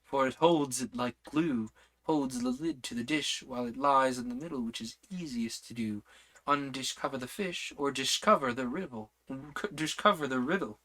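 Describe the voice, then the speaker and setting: A gentle, dreamy queen murmurs in a soft, cooing voice, put on by a man reading aloud. Her tone stays light and bright as she recites a riddle in verse. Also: mid-range voice, normal speed, natural voice. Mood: reciting.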